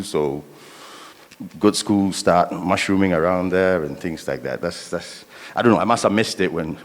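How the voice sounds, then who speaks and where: A man's voice: low voice, big-room sound. A man in the audience asking a question at a conference, hesitant and thinking aloud.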